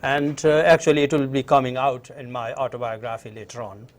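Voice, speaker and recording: A middle-aged man from Nepal with a Nepali accent, answering interview questions conversationally in a halting way and repeating phrases. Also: medium pitch, flat delivery. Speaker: interview guest, university professor. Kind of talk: conversational interview, recounting own background.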